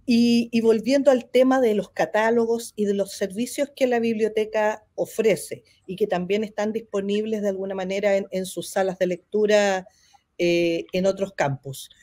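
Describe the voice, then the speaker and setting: A woman's voice: medium pitch, fairly even intonation, normal speed, mellow. A woman in her thirties with a Chilean Spanish accent, politely guiding an interview over a video call. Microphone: video call webcam.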